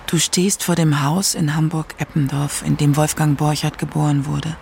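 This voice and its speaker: A woman narrating a scripted literary radio feature with measured, dramatic emphasis. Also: low voice, level tone, gravelly, a little mellow, slight background noise.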